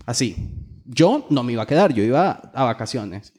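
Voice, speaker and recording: A man's voice: mid-range voice, very animated, moderate pace, a little mellow, breathy edge. A man with a Honduran accent chatting casually as a podcast co-host, swearing and using plenty of slang. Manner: profane, slangy. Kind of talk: casual chat.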